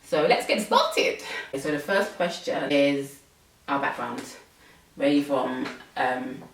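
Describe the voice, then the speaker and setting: Young woman host, low voice, chatty with fillers, roomy room sound from off mic. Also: lively intonation, slow, gravelly, recorded from a distance, background noise. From England. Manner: chatty, many fillers, laughs often. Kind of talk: casual chat.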